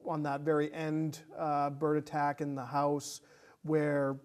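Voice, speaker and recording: A man with a somewhat high-pitched voice co-hosts a casual conversation about film. He speaks hesitantly, working out his thoughts aloud and often repeating the start of a phrase. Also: monotone, slow, a little mellow. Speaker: podcast co-host.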